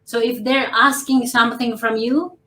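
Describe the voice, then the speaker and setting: A woman from the Philippines, a professional coach, giving tips on a panel in a slow, measured, gently ironic way over a video call. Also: a little high, steady tone, reverberant.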